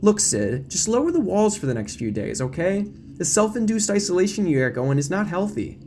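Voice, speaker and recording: A man's voice: high-pitched, sing-song, fairly quick, darkish tone, a little hiss or hum, pitched above the performer's own voice. A man voicing a feisty young female college roommate in a pushy, pleading, informal way, in an audio drama. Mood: coaxing.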